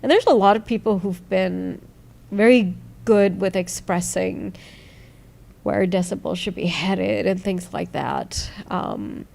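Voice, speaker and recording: A woman who talks in a low tone, chatting casually and rambling through thanks to people in an interview. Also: slow pace, gravelly. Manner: low-toned, rambling, grateful shout-outs. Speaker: founder of a music site, interviewed.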